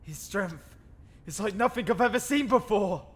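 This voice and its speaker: A man voicing a wounded, earnest young anime fighter with a husky voice, in a fan-made roleplay. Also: high-pitched, lively intonation, normal speed, a bit bright, in a large hall. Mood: grave, urgent.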